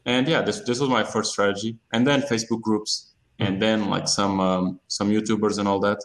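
A man's voice: lowish voice, steady tone, moderate pace, poor audio. A man from Portugal talks informally as an interview guest, often repeating words in stop-and-start phrases. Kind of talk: casual interview talk.

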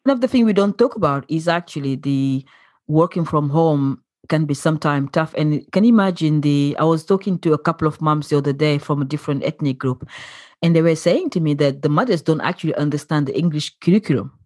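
A woman host with a fairly low voice who affirms her guest often and asks open, curious questions. The sound is close and dry, with no room around it. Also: brisk, a little mellow, dry recording. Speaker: podcast host. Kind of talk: conversational interview.

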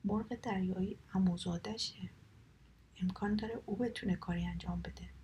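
A woman's voice: mid-range voice, fairly even intonation, mellow, slight background noise, lightly acted. Woman whispering as a little squirrel. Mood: hopeful.

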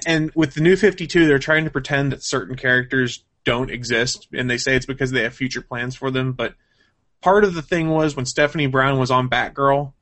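Male co-host, mid-pitched, chatty and opinionated, for casual gaming and comics news chat. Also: a bit bright. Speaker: show co-host.

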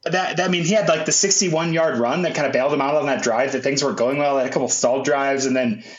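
A man's voice: medium pitch, fast talker. Nasal man in a roomy lo-fi recording. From Memphis, USA. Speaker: co-host. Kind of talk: casual sports podcast chat.

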